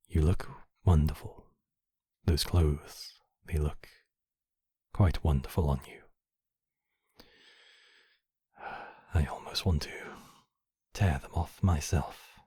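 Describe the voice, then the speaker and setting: A man voicing a courtly, possessive demon king, menacing yet tenderly protective, in slow, intimate ASMR roleplay with formal, measured phrasing. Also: rumbling low, dark tone, slightly rough, no room sound, studio quality, heavily acted. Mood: teasing, desirous. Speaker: voice actor in a roleplay. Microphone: close microphone, dry.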